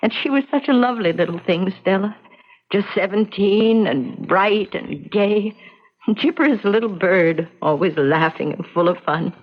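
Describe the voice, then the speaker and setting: Actress as a frightened, cringing housekeeper, old radio drama. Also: female voice, mid-range voice, natural voice. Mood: sorrowful recounting. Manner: anxious, halting, repeats words, exclaims "Oh". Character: frightened, cringing little housekeeper, superstitious and sorrowful. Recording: dull, lo-fi old radio recording.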